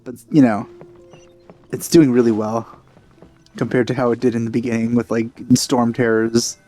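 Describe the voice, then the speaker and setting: Chill dark-voiced guy commenting on a game he's playing, casual, normal pitch, music in back. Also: flat delivery, normal speed. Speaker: let's player. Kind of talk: casual off-the-cuff gameplay commentary. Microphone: headset.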